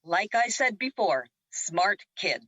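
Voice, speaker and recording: A woman's voice: mid-range voice, sing-song, slow, fairly bright tone, no room sound, dull audio, heavily acted. A woman playing a feisty, cocky ally in an audio drama, bold and sassy. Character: Luna, feisty, cocky female ally, fiercely defiant.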